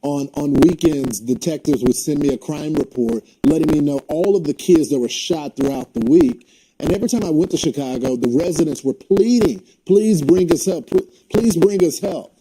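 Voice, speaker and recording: A man's voice: fairly high pitch, normal speed, mellow, slight background noise, rough recording. A man interviewing a guest, pressing her with pointed questions. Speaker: interviewer, reporter.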